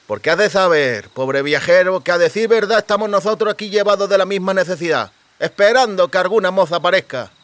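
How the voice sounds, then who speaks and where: A lisping rustic highwayman, acted out by a male narrator, pitched well up. He talks in folksy dialect with mocking courtesy and open menace, as in a fantasy audiobook. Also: fairly quick, hardly any pauses, a bit bright, no room sound. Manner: mock-polite, rustic dialect.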